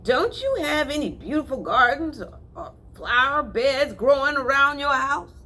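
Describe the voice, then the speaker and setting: A woman's voice: high voice, big pitch swings, moderate pace, pushed and bright, voice pushed up, full character voice. A woman voicing a boastful, snobbish male city mouse who sneers at simple country life. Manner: bragging, sneering, fussy.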